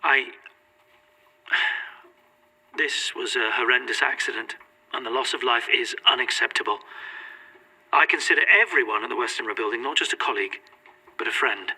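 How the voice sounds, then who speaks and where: An actor playing a guarded, polished head archivist, making formal, evasive statements in an audio drama. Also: male voice, medium pitch, fairly quick, sharp tone, slightly rough, exaggerated voice, rough recording. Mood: strained, polite. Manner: formal, evasive, politely clipped.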